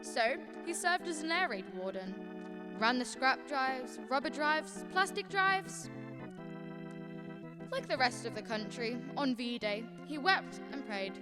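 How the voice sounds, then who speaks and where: A woman's voice: high voice, expressive, slow, over loud music. A young woman playing a patient, authoritative senior angel telling a story in a student radio drama. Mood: solemn storytelling. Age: young adult.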